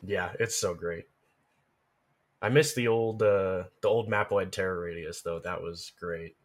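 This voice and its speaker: A man in casual podcast conversation about game lore, thinking aloud in halting phrases. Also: low-pitched. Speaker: podcast speaker.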